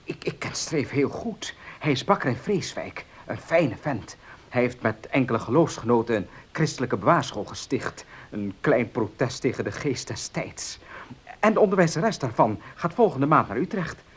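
An actor playing a courteous, eager, helpful gentleman in a Dutch radio play, formal and given to stammering repeats. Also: medium pitch, big pitch swings, normal speed, slightly rough. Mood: excited, helpful.

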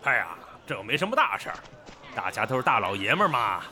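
A man's voice: fairly low pitch, sing-song, fast talker, sharp tone, slight background noise, exaggerated voice. An actor playing a crude, foul-mouthed townsman who taunts and mocks in an audio drama. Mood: mocking. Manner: mocking, taunting, swearing.

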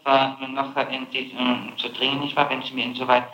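A man questioning a suspect as public prosecutor, probing and halting, often tacking on tag questions. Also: medium pitch, monotone, pushed and bright, lo-fi sound. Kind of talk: interrogation. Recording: noisy echoey archival interrogation tape.

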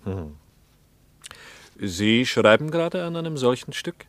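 An actor playing a stern, judgmental Soviet official in a radio drama, probing and severe in a clipped manner. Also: male voice, mid-range voice, big pitch swings, a little slow, fairly bright tone, no echo. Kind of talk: 1950s-60s radio drama acting.

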